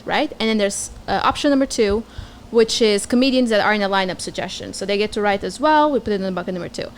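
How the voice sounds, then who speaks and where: A woman's voice: medium pitch, slight background noise. A woman in her twenties from Portugal with a Portuguese accent, a comedian guest chatting bluntly and jokingly on a podcast. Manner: blunt, swears freely, jokey. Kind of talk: casual podcast chat.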